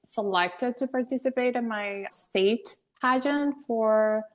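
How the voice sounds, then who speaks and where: A woman's voice: fairly high pitch, slow. Young woman, from Venezuela, podcast guest, chatty, laughs easily, casual talk. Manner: chatty, laughs often, restarts sentences. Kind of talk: casual interview conversation.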